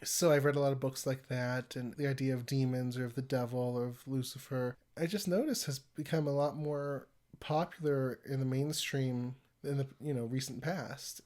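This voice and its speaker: A man hosting a podcast, sounding congested from a cold, telling stories in a rambling, animated way. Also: medium pitch. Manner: rambling, animated storytelling with laughs. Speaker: podcast host. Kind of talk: casual conversational podcast.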